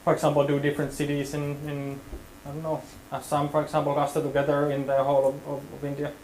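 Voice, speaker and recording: A man's voice: on the high side, monotone, fast, darkish tone, echoey room, a little hiss or hum, poor audio. A man leading a hands-on workshop tutorial, talking casually and hedging with many fillers. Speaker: workshop instructor.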